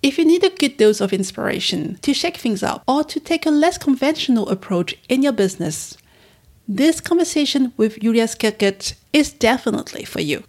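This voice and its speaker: A woman's voice: on the high side, animated, normal speed, a little mellow. A woman hosting an interview, reading a scripted introduction of her guest and then asking questions. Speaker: interview host.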